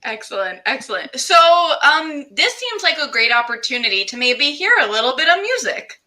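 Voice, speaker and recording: Very bright, high-pitched woman host. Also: very animated, normal speed. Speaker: interview host. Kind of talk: conversational hosting.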